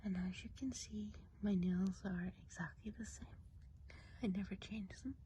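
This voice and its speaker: Soft whispering woman, mellow and breathy. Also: mid-range voice, fairly even intonation, moderate pace. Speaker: ASMR video host. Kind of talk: ASMR whispering, casual intro. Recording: faint background noise.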